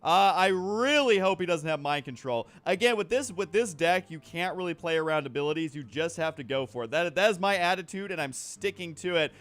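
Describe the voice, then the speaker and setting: A man with a somewhat high voice hosts a card game video. He commentates casually on his own play, rambling as he works out each move aloud. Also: animated, brisk, few pauses, a bit bright. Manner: rambling, thinking through moves aloud, counting. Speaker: gaming video host.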